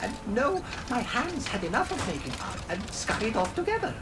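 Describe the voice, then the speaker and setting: Flighty high-voiced eccentric artist. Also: female voice, very animated, moderate pace, very noisy. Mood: airy, musing. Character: eccentric, scatterbrained, breezy automaton artist. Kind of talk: video game cutscene acting.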